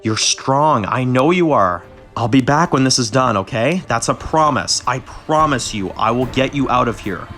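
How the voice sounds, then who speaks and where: A man's voice: mid-range voice, expressive, moderate pace, hardly any pauses, fairly bright tone, faint music behind, natural voice. A man voicing a commanding mother who comforts her son and directs her fighters. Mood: tender. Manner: firm, commanding. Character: commanding mother organizing a settlement's defense.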